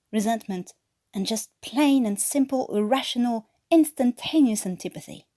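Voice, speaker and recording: A woman's voice: on the high side, mellow, no room sound, clean studio sound. A woman from France, a native French speaker with a French-tinged English accent, reading a scripted story as an amateur narrator. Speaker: amateur podfic reader.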